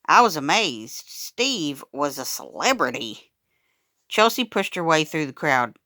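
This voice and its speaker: A woman reading a children's story aloud in an animated, expressive way, acting out the dialogue as she goes. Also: deep voice, unhurried, no room sound, studio quality.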